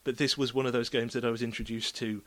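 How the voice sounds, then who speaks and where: A man's voice: medium pitch, level tone, fairly bright tone. Congested man sharing game opinions. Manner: reflective, measured opinions. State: stuffy nose from a cold. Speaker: podcast guest. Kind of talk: casual chat.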